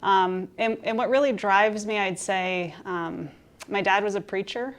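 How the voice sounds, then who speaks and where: A middle-aged woman from Minnesota, a health insurance executive, talking in an interview in an upbeat, articulate way and pausing for frequent ums. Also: medium pitch, steady tone. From Minnesota, USA. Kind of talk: interview conversation.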